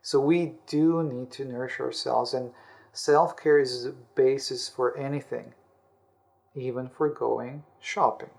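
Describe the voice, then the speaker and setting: A man giving explanatory self-help answers as an interview guest, often repeating words and rephrasing in halting steps. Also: medium pitch, fairly even intonation, gravelly, darkish tone. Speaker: interview guest, audiobook author.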